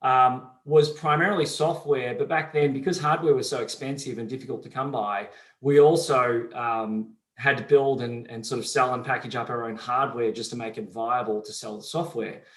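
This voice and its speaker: A middle-aged man with a softened Australian accent, answering interview questions in a measured, explanatory way with frequent fillers. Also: medium pitch, steady tone. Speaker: company CEO, guest. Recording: roomy echo.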